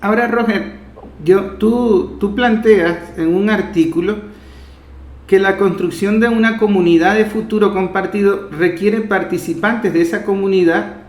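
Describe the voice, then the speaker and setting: A Venezuelan man hosting a political interview, earnestly laying out long, reflective points to his guest. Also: high-pitched. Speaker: interviewer, host. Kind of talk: discursive political interview talk. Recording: echoey room.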